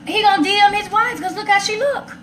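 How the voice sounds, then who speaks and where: A woman's voice: very high voice, a little fast, a bit bright. An American woman chatting casually and emphatically, repeating phrases to drive her point home. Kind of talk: animated casual chat. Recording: roomy echo, faint background noise.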